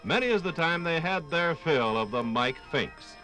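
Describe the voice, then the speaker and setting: A man's voice: on the high side, animated, moderate pace, bright, forceful tone, faint music behind. A man narrating a scripted 1950s radio documentary with dramatic, punchy phrasing.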